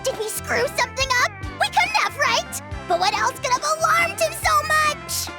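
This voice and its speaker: A woman voicing a tiny, excitable fairy-like girl companion who speaks of herself in the third person, in a game cutscene. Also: extremely high pitch, moderate pace. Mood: chirpy. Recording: game score music underneath.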